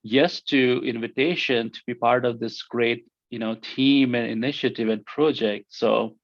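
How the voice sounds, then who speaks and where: A man's voice: mid-range voice, fairly even intonation, a little slow, vocal fry, no echo, dull audio. A man from India with an Indian accent, answering interview questions in a hesitant way with many fillers and restarts. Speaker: interview guest.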